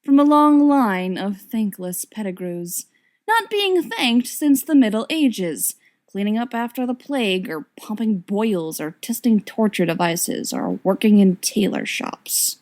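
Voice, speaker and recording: A woman's voice: medium pitch, lively intonation, a little slow, a little mellow. A woman narrating a written story expressively, with wry, dramatic emphasis. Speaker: audiobook reader of fan fiction.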